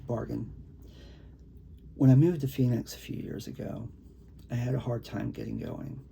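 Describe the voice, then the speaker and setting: A man with a gravelly, creaky voice, a poet talking casually and reading his own work in a halting, conversational way. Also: medium pitch, fairly even intonation, warm tone, a little hiss or hum. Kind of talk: casual talk and poetry reading.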